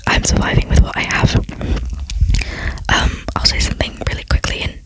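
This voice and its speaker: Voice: normal speed, rough recording. A young adult speaks entirely in whispers, with barely any voiced tone. The delivery is casual and rambling, like an informal ASMR chat over gameplay, with a self-deprecating streak.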